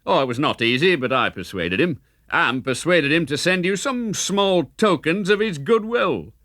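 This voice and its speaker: A man's voice: on the high side, lively intonation, normal speed, a bit bright, no echo, studio quality, pitched above the performer's own voice. A man voicing a bold, sly outlaw who talks eagerly and persuasively in a children's audiobook. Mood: proud, sly.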